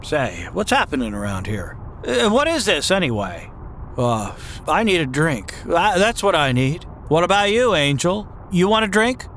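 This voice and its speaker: A man's voice: on the high side, sing-song, moderate pace, a bit bright, a little hiss or hum. A man playing a troubled, bewildered small-town family man in an old-time radio drama, with a hesitant, stammering delivery.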